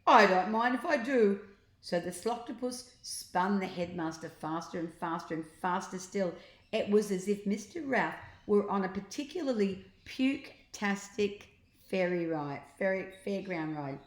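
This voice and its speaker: An older woman reading a children's storybook aloud as a grandmother would, with expressive, drawn-out emphasis, in a roomy recording. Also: medium pitch. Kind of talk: storybook narration read aloud.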